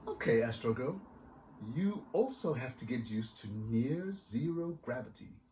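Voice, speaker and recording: A man's voice: mid-range voice, animated, a little slow, far from the mic, background noise, close to an everyday voice. A man voicing a playful, loving father who teases with questions in a storybook reading. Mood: teasing. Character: playful, loving father testing his daughter.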